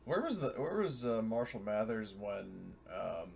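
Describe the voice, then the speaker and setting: A man's voice: fairly low pitch, big pitch swings, a little slow, vocal fry, a crowd in the background. Casual, sweary man at a gaming table. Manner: informal, sweary. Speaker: roleplaying game player. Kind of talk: casual table talk, partly in character.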